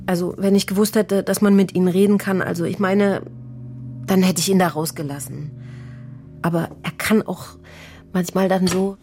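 An actress playing a worried, apologetic working-class aunt who speaks plainly and haltingly in a naturalistic radio crime drama. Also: female voice, fairly low pitch, fairly even intonation, warm tone, close to an everyday voice. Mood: anxious, pleading. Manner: hesitant, halting, unsure, plain-spoken.

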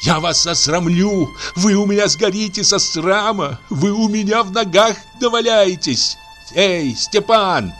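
A man voicing a furious young woman who shouts and sobs out bitter accusations. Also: sits high, lively intonation, measured pace, soft background music, pitched above the performer's own voice. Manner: shrieking accusations. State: sobbing with rage.

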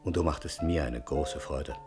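A dignified, weary older father speaks in a low voice. His phrasing is formal, measured and eloquent in the manner of radio drama, with music faintly behind him. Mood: resigned, solemn. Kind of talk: radio play dialogue.

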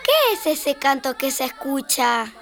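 A woman's voice: sits high, big pitch swings, measured pace, a bit bright, soft background music, heavily acted. A woman playing a curious, stubborn little girl in children's radio theatre, speaking in an eager childlike way with an Argentine accent.

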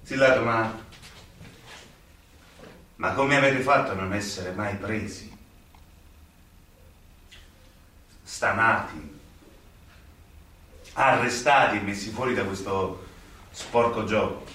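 An actor playing a stern, idealistic young magistrate haunted by guilt in a radio drama, with emphatic, deliberate phrasing and dramatic pauses. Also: male voice, on the low side, sharp tone. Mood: accusing. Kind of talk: theatrical radio drama acting. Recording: very echoey stage, distant mic, music behind.

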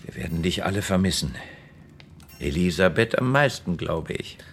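An actor playing a gentle, earnest older father in a radio drama, speaking in a measured, solemn, fatherly manner. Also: low voice, expressive, soft background music. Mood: tender, solemn. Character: gentle, earnest father blessing his departing grown son.

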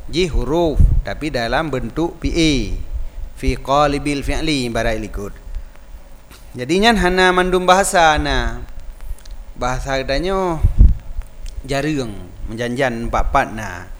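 Male Islamic teacher, Acehnese accent and mother tongue, mid-pitched, reading and explaining a text in a long echo. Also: expressive, measured pace, pauses often, a bit bright, slight background noise. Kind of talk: religious text lesson, reading aloud and explaining. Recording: reverberant hall-like echo.

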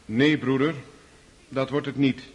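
A man's voice: mid-range voice, steady tone, a little slow, muffled recording, natural voice. A man acting in a radio drama as a righteous lodge brother who questions and admonishes in formal speech. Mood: stern, probing. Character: stern, righteous freemason lodge brother, interrogating.

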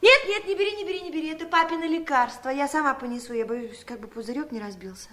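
A young daughter in a 1970s Soviet radio drama, with a high, girlish voice. She is earnest and protective, insisting eagerly and repeating herself like a little nurse in charge. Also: fast talker, fairly bright tone. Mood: protective, insistent.

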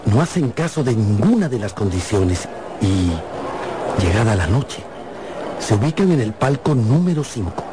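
A man narrating a gothic mystery as a radio drama, in a measured, suspenseful storytelling manner. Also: on the low side, very animated, noisy background. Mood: ominous. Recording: radio drama narration under loud music.

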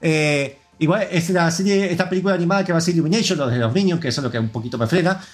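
An Argentine man hosts a relaxed gaming podcast in a somewhat high voice, rambling from one news item to the next and reading listener comments aloud. Soft music plays faintly underneath. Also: racing. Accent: Argentine Spanish. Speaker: podcast host. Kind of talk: casual gaming news chat.

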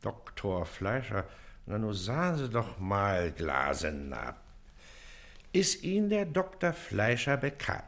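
A pompous Prussian baron in office, with a sharp, forceful voice that swings widely. He is condescending and suspicious, questioning people in clipped bursts, in radio play style. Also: lowish voice, sing-song, slow, exaggerated voice. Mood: probing, impatient. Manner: condescending, clipped, interrogating, haughty. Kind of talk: German radio play acting.

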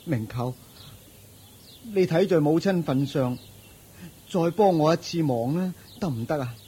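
Husky, dejected young Cantonese nephew. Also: male voice, fairly high pitch, lively intonation, unhurried, dark tone. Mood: pleading. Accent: Hong Kong Cantonese. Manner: sighing, humble, pleading. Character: dejected, luckless, penniless nephew pleading for work. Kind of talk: Cantonese radio drama acting.

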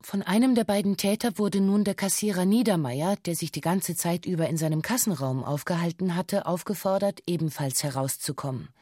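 An actress playing a bank employee who gives a sober, formal witness statement in a radio drama, factual and detached. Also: female voice, on the low side, brisk, few pauses, warm tone, no room sound, studio quality, lightly acted. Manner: factual, detached, precise official phrasing. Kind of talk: scripted documentary radio drama, formal testimony.

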